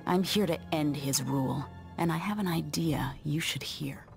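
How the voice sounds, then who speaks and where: Low, cool, commanding young royal. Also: female voice, normal speed, gravelly, faint music behind. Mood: cool resolve. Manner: terse, controlled, businesslike. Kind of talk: video game cutscene acting.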